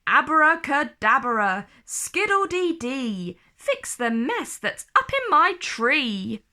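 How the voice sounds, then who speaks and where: Young storybook fairy girl, played by a woman: eager, clumsy, exclamatory, high-pitched, very animated. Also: takes it slowly, heavily acted. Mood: singing spells.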